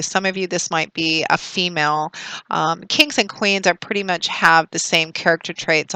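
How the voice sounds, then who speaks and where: Bright, clear-voiced woman reading tarot. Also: sits low, no room sound, low-quality recording. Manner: thoughtful, meandering explanations with frequent fillers. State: recovering from a cold, slightly raspy. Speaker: tarot reader.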